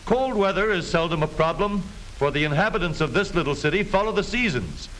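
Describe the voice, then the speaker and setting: Male American 1930s newsreel narrator, jaunty and animated, on an old hissy film soundtrack. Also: high-pitched, brisk, low-quality recording. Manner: jaunty, folksy showmanship.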